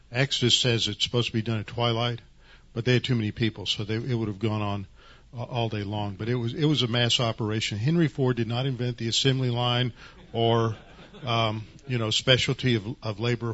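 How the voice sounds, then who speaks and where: A man of middling pitch offers an informal explanation in a class. He goes haltingly, restarting and searching for terms. The sound is dull and muffled. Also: a bit bright. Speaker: class participant adding comments.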